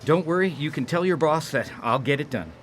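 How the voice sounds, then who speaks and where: A man's voice: a little high, expressive, fairly quick, slight background noise, natural voice. A man playing an awkward, stammering, defensive blind-dater in a radio drama. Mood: sullen.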